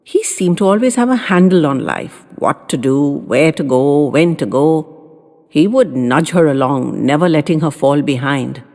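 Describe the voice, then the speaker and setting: A woman reading sentimental short stories as a storyteller, in a soft, expressive, dramatic voice with lingering pauses. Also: low-pitched. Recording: long hall reverb, music behind.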